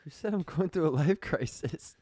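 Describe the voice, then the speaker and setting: A man's voice: on the high side, moderate pace, darkish tone, dry recording. A man in his mid-twenties co-hosting a podcast in casual chat, with informal youthful banter. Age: mid-20s. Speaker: podcast co-host.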